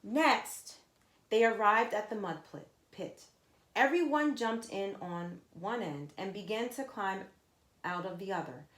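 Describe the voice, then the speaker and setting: A woman reading a children's story aloud like a teacher, with emphatic, expressive narration addressed to young listeners. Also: medium pitch, measured pace. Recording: echoey, roomy sound.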